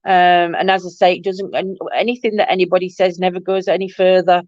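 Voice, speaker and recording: Middle-aged woman, livestream host, croaky nasal voice, lowish pitch, dry and slightly dull sound. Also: level tone, a little fast, darkish tone, dry recording. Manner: rambling, affectionate, calls viewers "my lovely". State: croaky, nasal from hay fever. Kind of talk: casual live-stream chat with viewers.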